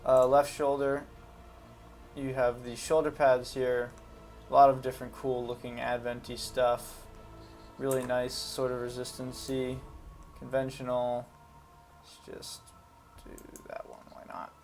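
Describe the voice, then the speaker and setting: A man's voice: medium pitch, completely flat delivery, unhurried, pauses often, a little mellow, faint music behind. A man giving casual, unscripted commentary on a video game, talking informally and hesitantly as he thinks aloud. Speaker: gaming video host.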